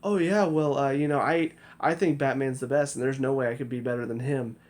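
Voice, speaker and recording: A man acting out a young male hero who feigns modest admiration, in a halting, mock-earnest delivery. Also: mid-range voice, steady tone, darkish tone, close to an everyday voice.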